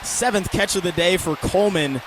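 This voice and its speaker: A man's voice: high voice, normal speed, a crowd in the background. An American man calling football play-by-play for radio in clipped, descriptive announcer phrasing. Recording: loud stadium and outdoor ambient noise.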